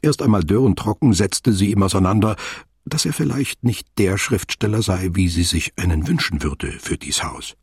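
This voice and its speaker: Sober literary radio-play narrator, low register, heavily husky voice, formal and deliberate. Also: male voice, animated, a little mellow, no room sound, clean studio sound, exaggerated voice. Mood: sober, measured. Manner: measured, formal, wordy.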